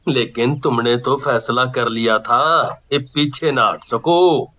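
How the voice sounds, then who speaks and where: A man's voice: medium pitch, bright, forceful tone. An actor with a Haryanvi accent voicing the ghost of a stern but loving wrestler father in a cartoon, speaking firmly and unhurriedly. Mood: firm, fatherly. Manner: firm, unhurried fatherly speech. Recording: slightly muffled lo-fi audio. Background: faint music.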